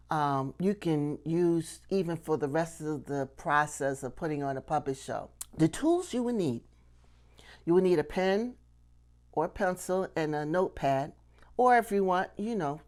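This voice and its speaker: A middle-aged woman giving a casual instructional talk to camera, conversational, often asking questions and checking in with the listener. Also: low-pitched. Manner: conversational, rhetorical questions and frequent "okay?" check-ins. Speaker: puppetry instructor and channel host.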